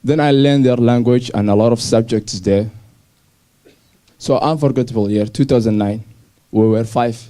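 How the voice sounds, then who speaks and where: A young man from Somalia telling his personal story to an audience in simple, halting English with restarts. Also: medium pitch, dark tone. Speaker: speaker sharing his refugee story. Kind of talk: personal story talk on stage.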